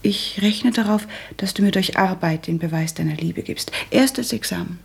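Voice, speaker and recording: Prim bossy practical young woman, radio play, really husky w lots of creak, firm n matter of fact. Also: medium pitch, moderate pace. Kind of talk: German radio drama acting.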